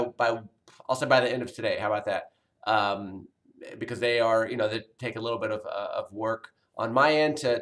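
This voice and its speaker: A man's voice: mid-range voice, fairly bright tone. A man in his forties, a college health instructor, talking casually to his online students in a recorded video message, rambling with many fillers and restarts. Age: 40s.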